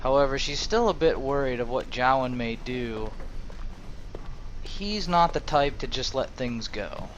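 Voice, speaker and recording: A man's voice: mid-range voice, animated, unhurried. A man role-playing and narrating a video game playthrough in a hesitant, musing, improvised way. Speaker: video game let's player. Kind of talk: role-play narration over gameplay. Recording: dull lo-fi mic, faint noise.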